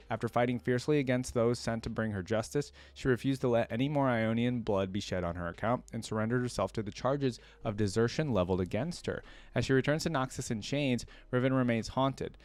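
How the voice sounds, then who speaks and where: A man's voice: medium pitch, a little mellow, no room sound. A man hosting a reaction video, reading lore aloud and adding casual commentary.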